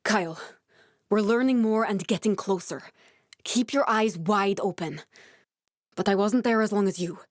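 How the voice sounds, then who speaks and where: Game role: guarded, earnest woman scientist played by an actress, medium pitch, naturalistic measured delivery, studio-dry sound. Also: no echo, natural voice. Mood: calm, instructive. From born in Norway, raised in Glasgow (character). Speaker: video game character voice. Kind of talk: naturalistic video game dialogue acting.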